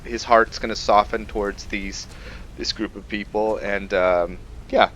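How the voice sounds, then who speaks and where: A man's voice: on the low side, fairly even intonation, measured pace, fairly bright tone, muffled recording, a little hiss or hum. A man hosting a gaming video, giving casual commentary and role-play remarks as he plays.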